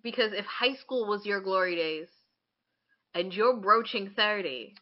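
A woman's voice: medium pitch, animated, a little slow. Blunt mid-twenties woman, slightly muffled audio. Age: mid-20s. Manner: blunt, frequent swearing, emphatic. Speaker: podcast co-host. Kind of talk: casual opinionated chat.

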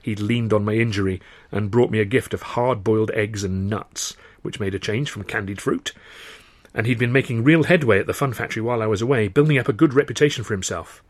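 A man with an English accent reads his own novel aloud in measured, first-person storytelling. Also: on the low side, no echo. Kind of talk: dramatised audiobook narration.

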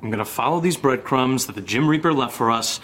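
A man's voice: lively intonation, a little fast, a bit bright. A man acting as a casual, sweary young man in an audio drama, a reassuring friend who is secretly rattled. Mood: anxious, confiding. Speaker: actor in audio fiction podcast. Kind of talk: naturalistic found-footage audio drama acting. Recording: noisy amateur recording with low rumble.

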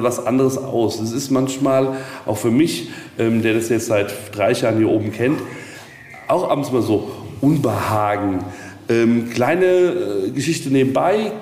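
A middle-aged man with a mid-pitched voice gives informal, rambling explanations like a local guide. His sentences circle around before they land. The room is strongly echoey, with a little ambient noise. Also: a little mellow. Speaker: castle representative and guide. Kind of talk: casual explanatory interview talk. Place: reverberant space at a castle.

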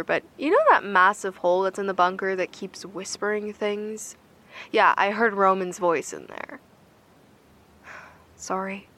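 A woman's voice: medium pitch, bright, forceful tone. A woman playing a wry, pragmatic field investigator in a naturalistic audio drama, speaking informally.

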